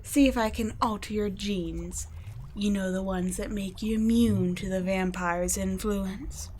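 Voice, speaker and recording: A cold, condescending female vampire scientist voiced by a woman for an ASMR audio drama, murmuring and whispering her taunts at a mid pitch. Her mockery stays quiet and close rather than loud. A faint hiss of noise sits under the voice. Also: steady tone, unhurried, natural voice. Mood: mocking. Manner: soft, often whispered, mocking and condescending.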